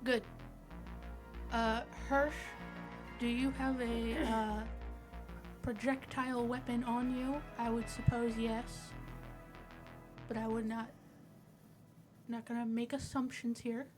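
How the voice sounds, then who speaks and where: Female game player, high-pitched, joking and bossy banter, music underneath. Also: little pitch movement, slow pace, pauses often. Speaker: tabletop roleplaying game player. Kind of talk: casual in-character game banter.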